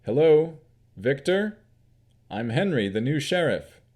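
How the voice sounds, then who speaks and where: A man's voice: on the high side, sing-song, slow pace, a little mellow, pitched above the performer's own voice, heavily acted. A man voicing an earnest, patient new sheriff who wields divine magic.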